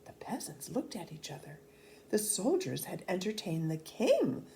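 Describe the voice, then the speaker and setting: Slow, expressive woman storyteller. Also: fairly low pitch, a little hiss or hum. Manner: expressive, dramatic emphasis. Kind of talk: children's storybook read-aloud.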